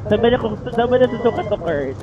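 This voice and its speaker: A man's voice: very high voice, expressive, a little fast, highs cut off. A man, chatting as a passenger over an intercom in a playful, teasing, flirtatious way. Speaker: motorcycle taxi passenger. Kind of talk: casual flirty banter. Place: outdoors riding pillion in street traffic. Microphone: helmet intercom microphone. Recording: intercom audio under loud music.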